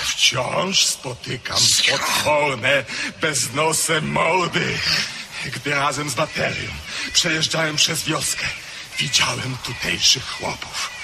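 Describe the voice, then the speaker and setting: An actor playing a weary, nihilistic Russian aristocrat officer in a radio drama, speaking in a declamatory way. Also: male voice, a little high, creaky. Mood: brooding. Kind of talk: 1970s-80s radio drama acting. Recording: old radio play recording with background noise.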